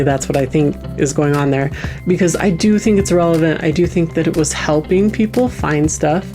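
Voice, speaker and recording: A woman with a low-pitched voice presents as a podcast host. She talks candidly and conversationally to listeners, with music clearly playing behind her. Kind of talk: casual podcast announcement.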